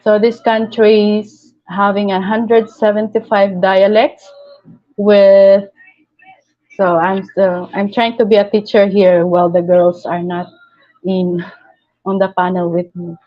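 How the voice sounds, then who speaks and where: Filipina lady doing a live stream, slow easy chat, reads out comments, muffled lo-fi sound. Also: medium pitch, little pitch movement. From Philippines. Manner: chatty, reads viewer comments aloud, laughs often.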